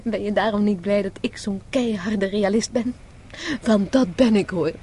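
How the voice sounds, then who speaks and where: An actress in a radio drama playing a troubled, affectionate wife with a wry streak, speaking in an intimate, theatrical way. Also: female voice, mid-range voice, moderate pace, mellow, slight background noise, close to an everyday voice. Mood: musing, wry.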